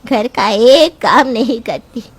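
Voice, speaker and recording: A woman voicing a sharp-tongued, scolding middle-aged mother-in-law in an animated story, with a sarcastic manner. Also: fairly high pitch, takes it slowly, voice pushed down. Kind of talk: cartoon storybook voice acting.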